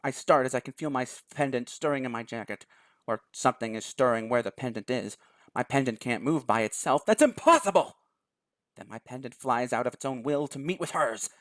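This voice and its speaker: A video host reading a visual novel aloud and commenting on it in a dramatic, expressive manner. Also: medium pitch, fairly quick, no room sound.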